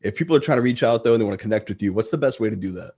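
Male podcast host, fairly low voice. Casual, informal, affirming conversational delivery. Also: animated, very fast, a little mellow, husky. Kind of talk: casual chat.

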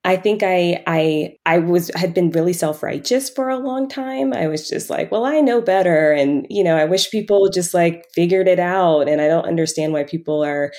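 A woman in casual, reflective conversation, candid and often halting as she corrects herself. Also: on the low side, dark tone.

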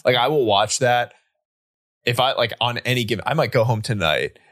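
A man's voice: mid-range voice, moderate pace, a bit bright, no room sound, studio quality. A man in his early thirties, a guest on a casual podcast, talking chattily with a lot of "like.". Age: 30s. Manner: chatty, heavy use of "like". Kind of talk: casual conversational interview.

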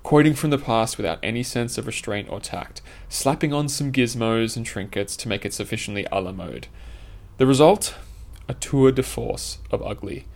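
A man's voice: mid-range voice, creaky, a little mellow. A man with a mid-range voice narrates an essay he wrote himself. His delivery is scripted and formal, with literary phrasing. He states his opinions plainly, as an author reading his article aloud.

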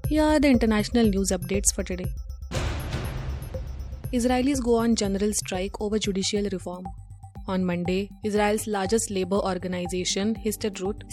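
A woman's voice: mid-range voice, a little mellow. A woman with an Indian English accent presenting a scripted news bulletin.

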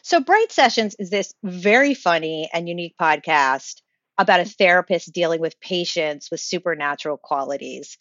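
A woman's voice: on the low side, expressive, moderate pace, a bit bright, no room sound, lo-fi sound. A woman hosting a podcast, reading introductions and putting questions to a guest. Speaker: podcast host and interviewer. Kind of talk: scripted intro and interview.

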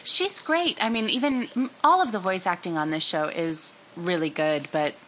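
A woman co-hosting a podcast in casual chat, excitable, quick to gasp and laugh. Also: on the low side, a little hiss or hum. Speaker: podcast co-host.

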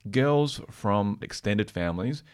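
A man co-presenting a podcast, explaining research conversationally in a measured, explanatory manner. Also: on the low side, lively intonation, clean tone. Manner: measured, explanatory, tentative when giving opinions. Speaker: podcast co-presenter, practising therapist.

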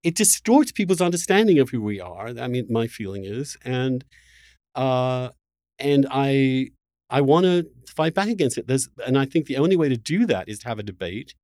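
A man hosting an impassioned conversational debate, haltingly repeating words as he builds his point. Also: mid-range voice, animated, a little mellow, dry recording, studio quality. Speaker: interview host.

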